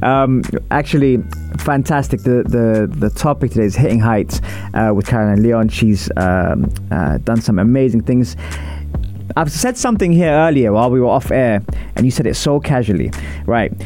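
A jokey male talk show host who laughs easily and keeps things casual. His voice moves up and down a lot, at a medium pitch. Faint music sits under the conversation. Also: normal speed. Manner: jokey, laughs often, animated. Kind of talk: casual live radio chat.

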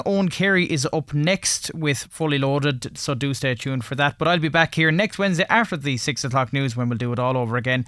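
Irish male radio presenter, fairly high voice, dry close studio sound, linking programme segments. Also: fairly bright tone, dry recording. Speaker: radio host.

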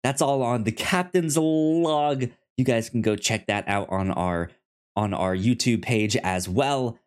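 A man's voice: mid-range voice, slow pace, smooth. A man hosting a podcast in a casual, chatty style, often tripping over his words. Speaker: podcast host.

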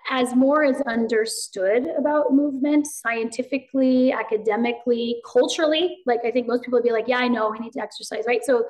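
A woman speaks her mind in a slightly high voice. She talks fast and animatedly, and her casual talk is full of fillers as she explains her ideas. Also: rough recording. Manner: rapid, animated, lots of fillers; self-described loud voice, outspoken. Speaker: guest. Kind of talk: casual conversation.

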